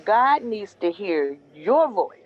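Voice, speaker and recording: A woman playing a gentle churchgoing mother. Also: mid-range voice, sing-song, slow pace. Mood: urging. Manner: gentle, coaxing, preacherly. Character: loving churchgoing mother of grown son. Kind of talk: audio drama acting. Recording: dry, dull lo-fi sound.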